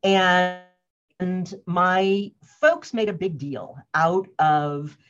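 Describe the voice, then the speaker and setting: An American woman in her fifties, a guest answering interview questions in an earnest, reflective way, laughing often and pausing on fillers. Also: on the low side, fairly even intonation. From United States. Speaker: guest, organizational wellness consultant. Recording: muffled lo-fi audio.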